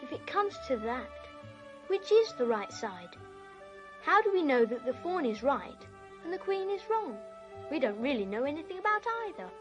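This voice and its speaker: A woman's voice: high voice, very animated, normal speed, muffled recording. A woman playing a sullen, suspicious, contrary schoolboy in a children's fantasy drama. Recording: music behind the voice.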